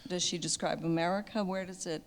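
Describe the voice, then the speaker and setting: A woman's voice: lowish voice, steady tone, fairly quick. A woman in an audience giving an informal comment and asking a question.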